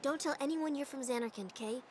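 A woman's voice: high-pitched, fairly quick, a little mellow, slight background noise, natural voice. An actress playing a cheerful, helpful young woman in a video game cutscene. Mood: chirpy.

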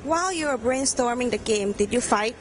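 A young woman interviewing students at a game event, asking short, bright questions into a handheld mic in a large room. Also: fairly high pitch, lively intonation, fairly quick, slight background noise.

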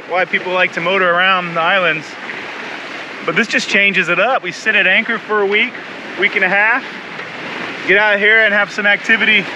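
A man in his forties chatting casually to camera as a sailing vlog host, relaxed and easygoing. He is outdoors on a sailboat at sea, filmed on a close handheld action camera. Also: high-pitched, noisy background. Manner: relaxed, chatty, laughs easily. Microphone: action camera held close at arm's length.

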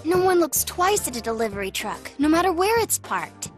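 High-voiced, earnest teenage girl. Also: big pitch swings, moderate pace. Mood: puzzling it out. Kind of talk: video game voice acting. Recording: music under the dialogue.

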